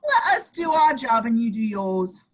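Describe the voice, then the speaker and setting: A woman's voice: on the high side, sing-song, moderate pace. A woman voicing a sarcastic, teasing male village detective at a video call table read. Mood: mocking.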